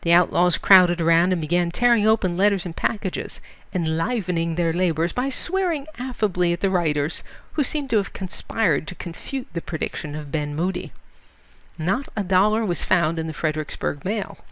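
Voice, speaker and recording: A woman's voice: fairly low pitch, lively intonation, normal speed, fairly bright tone, no room sound. A woman narrating a literary short story expressively, as in an audiobook.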